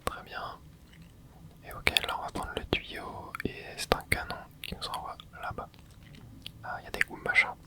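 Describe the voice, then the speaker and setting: Guy in his 20s whispering through a game, soft and hushed, gets all excited under his breath. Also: normal speed. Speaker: gaming ASMR creator. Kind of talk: whispered ASMR gameplay commentary.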